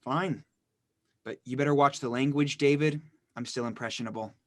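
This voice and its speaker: A man's voice: mid-range voice, fairly even intonation, fairly bright tone, dry recording, studio quality, natural voice. A man playing a brooding, introspective teenage brother in a dramatic horror play reading. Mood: defensive. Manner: reflective, measured. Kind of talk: dramatic monologue in script reading.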